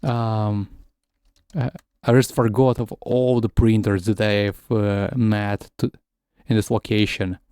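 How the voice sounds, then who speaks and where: A man's voice: fairly low pitch, level tone, slow pace, mellow, clear, resonant tone, no echo, clean studio sound. A man whose first language is Russian, chatting casually while streaming a game, often stumbling and repeating words. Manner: switches between English and Russian. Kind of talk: casual gaming stream chat.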